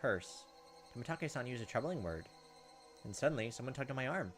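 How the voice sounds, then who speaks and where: A man's voice: medium pitch, expressive, brisk, a bit bright, soft background music. A man hosting a game playthrough, switching between casual commentary and reading story text aloud. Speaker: gaming video host.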